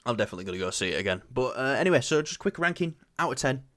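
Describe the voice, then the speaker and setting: A man's voice: mid-range voice, big pitch swings, racing, a bit bright, no room sound. A young man with a Yorkshire accent co-hosting a movie review show, chatting casually with lots of fillers and restarts. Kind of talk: casual chat.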